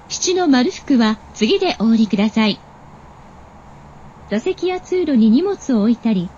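A woman reads scripted transit announcements in a high, very soft-edged voice. Her diction is formal, polite and careful. The sound is slightly muffled. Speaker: automated train announcer. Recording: dull recording with train noise. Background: subway train ambience.

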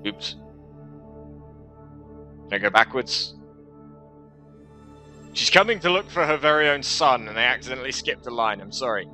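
A young man streaming a game, reading its narration aloud in a headset and breaking off into quick casual asides. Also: medium pitch, expressive, bright, forceful tone, soft background music. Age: young adult. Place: small room at home.

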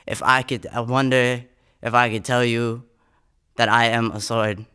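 A man's voice: mid-range voice, little pitch movement, unhurried, bright, forceful tone, clean tone. A young man from the United States, a spoken word poet, recorded close on a studio microphone. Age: young adult. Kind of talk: spoken word poetry and interview answers. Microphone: close studio condenser microphone.

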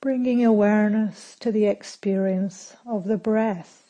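A woman leads a guided meditation in a dark, relaxed voice. She speaks very slowly and calmly, giving gentle instructions and leaving long pauses between short phrases. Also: mid-range voice, rough recording. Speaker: meditation teacher.

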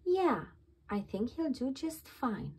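A woman voicing a patient, gently knowing mother dog in a children's storybook. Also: high voice, sing-song, slow pace, husky, heavily acted. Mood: reassuring.

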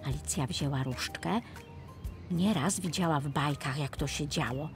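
A woman narrating a scripted fairy tale for children in an expressive storytelling style. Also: low-pitched, moderate pace. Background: music.

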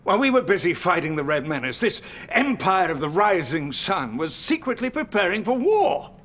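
A elderly British field marshal in a video game. His fairly high voice is grave and dramatic, with military formality. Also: big pitch swings, normal speed, fairly bright tone, heavily acted. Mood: grave, alarmed. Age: 60s.

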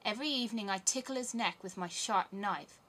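A woman's voice: medium pitch, brisk, a bit bright, natural voice. Woman playing a bossy, wild little robber girl. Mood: boastful. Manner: bold, commanding, emphatic. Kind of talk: storybook character voice.

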